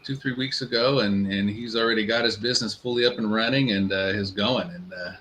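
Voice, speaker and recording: A man co-hosting a casual live chat as a business mentor, speaking in a halting, affirming way over some background noise. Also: lowish voice, fairly even intonation, fairly bright tone.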